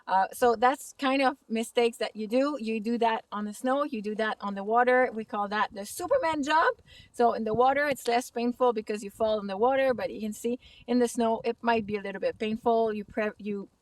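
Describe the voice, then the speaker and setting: A woman's voice: fairly high pitch, no echo, distant mic, rough recording. French Canadian woman teaching outdoors. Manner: casual, chatty, slightly halting English. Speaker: kitesurfing instructor. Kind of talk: outdoor tutorial vlog. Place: snowy lake outdoors in winter.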